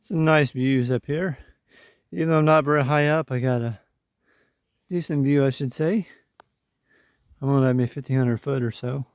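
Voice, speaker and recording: A man's voice: mid-range voice, fairly even intonation, moderate pace, pauses often, no room sound. A man in his forties vlogging casually from a wooded mountain trail, phone held at arm's length, talking easily. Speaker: thru-hiker vlogger. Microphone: phone camera at arm's length.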